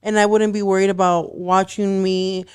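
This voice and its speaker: A woman's voice: mid-range voice, little pitch movement, moderate pace, clear, resonant tone. A woman in her late twenties, co-hosting a podcast in casual chat, talking with lots of "like" fillers. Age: 20s. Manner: chatty, filler-heavy with frequent "like". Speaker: podcast co-host. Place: podcast studio.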